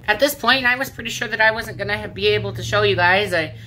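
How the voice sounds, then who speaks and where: A woman's voice: mid-range voice, fast talker, pushed and bright, slight background noise, poor audio. A woman talking informally and with exasperation in a rambling hands-on vlog, with some mild swearing. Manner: informal, exasperated, mildly profane. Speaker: video maker. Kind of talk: casual rambling vlog, narrating a hands-on product test. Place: indoors in a home kitchen area.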